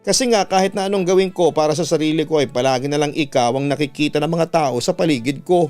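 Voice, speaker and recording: A man's voice: a little high, fast talker, a little mellow, faint music behind, natural voice. Man voicing a bitter, jealous Filipino older sister. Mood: bitter, aggrieved. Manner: irritated, accusing, emphatic. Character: resentful, jealous young older sister, bitter and sharp-tongued.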